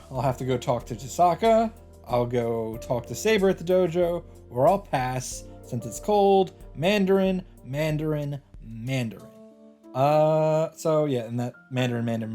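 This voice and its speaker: A man's voice: medium pitch, a little mellow. A man hosting a let's play, reading visual novel narration aloud. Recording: game music faintly behind. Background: game music.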